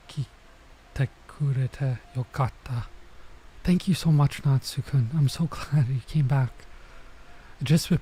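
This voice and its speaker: A man's voice: medium pitch, warm tone, slight background noise, poor audio. A man doing a casual let's play, reading visual novel text aloud and commenting as he goes. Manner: halting when sounding out foreign words. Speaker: streamer playing a visual novel.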